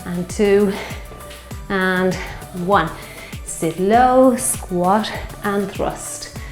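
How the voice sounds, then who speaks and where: A woman in her fifties, a fitness instructor leading a guided strength workout, slightly hoarse from a sore throat and cheering on the class as she counts out reps. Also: mid-range voice, very slow, reverberant, faint music behind. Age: 50s. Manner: encouraging, counting reps while exercising.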